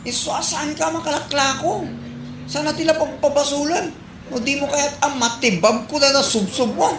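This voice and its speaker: A woman's voice: sits high, steady tone, normal speed. A woman playing a blunt, fed-up village neighbour in a comedy drama, scolding and exclaiming. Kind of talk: Ilocano comedy drama acting. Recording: echoey room with background noise.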